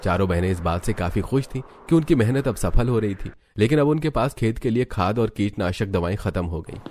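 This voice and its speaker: A man serves as narrator of a scripted family cartoon tale. His voice sits fairly low, and there is faint noise in the recording. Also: expressive, brisk, a little mellow. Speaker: story narrator.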